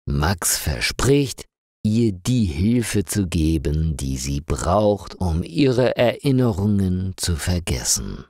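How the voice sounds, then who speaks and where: A man narrating a scripted crime story for language learners in a slow, clearly articulated reading with lots of rise and fall. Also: low voice, a little mellow, no echo, studio quality.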